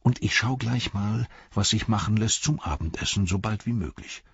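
A man's voice: on the low side, normal speed, creaky, husky, no echo, muffled recording, pitched above the performer's own voice, full character voice. A man voicing a hearty, talkative, flustered innkeeper who exclaims, rambles and interrupts himself in an audiobook story. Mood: flustered, bustling.

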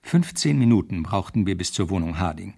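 Deep, husky crime drama narrator. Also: male voice, expressive, a little fast, warm tone, exaggerated voice. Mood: dry narration. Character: young police assistant narrating the case drily.

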